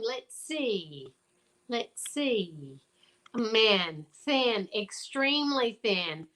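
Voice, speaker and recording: A woman's voice: high voice, sharp tone, heavily acted. A woman playing a droll, theatrical photographer with an arch drawl in old-time radio comedy.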